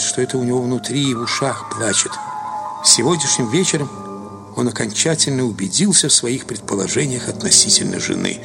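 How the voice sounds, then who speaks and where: A man narrating a literary story as an actor would, in an expressive scripted reading. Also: mid-range voice, gravelly. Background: music.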